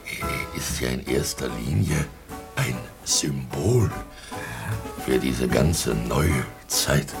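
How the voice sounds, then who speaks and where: Casting: drunken tavern prophet for radio drama, slow and stammering, pitch swinging wildly, music behind. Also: male voice, gravelly, heavily acted. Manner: halting, stammering, emphatic. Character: drunken, ranting amateur prophet of doom. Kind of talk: German radio play acting.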